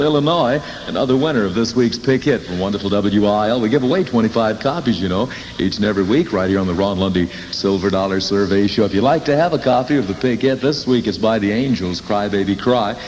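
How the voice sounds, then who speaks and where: A man's voice: medium pitch, expressive, brisk, darkish tone. A man with an American accent hosting a 1960s Top 40 radio show, chatting folksily to listeners and reading spots. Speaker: radio disc jockey. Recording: 1960s radio aircheck, hissy, long reverb.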